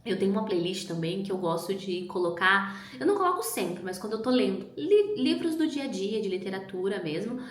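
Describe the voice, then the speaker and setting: A woman vlogger in a relaxed, reflective casual chat, musing aloud and often adding little tag questions. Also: medium pitch, brisk, few pauses. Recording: echoey room.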